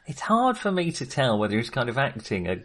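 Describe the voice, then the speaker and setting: A man's voice: medium pitch, wildly swooping pitch, brisk, crowd noise. A man in an enthusiastic, jokey, digressive film discussion, talking casually as a co-host.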